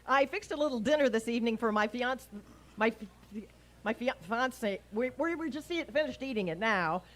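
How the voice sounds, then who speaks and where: Woman acting a wry, animated sitcom schoolteacher. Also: a little high, moderate pace, close to an everyday voice. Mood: flustered. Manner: arch, wisecracking, gushing with endearments. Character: wry, lovestruck schoolteacher woman, scheming and flustered. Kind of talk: vintage radio sitcom stage acting. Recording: noisy live raw event recording.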